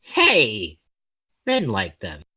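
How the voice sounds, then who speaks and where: A man performs a clumsy young huntsman-in-training who grumbles and whines at himself. The delivery is slow and hesitant, with a bright, strained edge and big swings in pitch, as in an animated-series audio drama. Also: husky, exaggerated voice. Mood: whiny, exasperated. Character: sulky, self-deprecating, clumsy young male student huntsman.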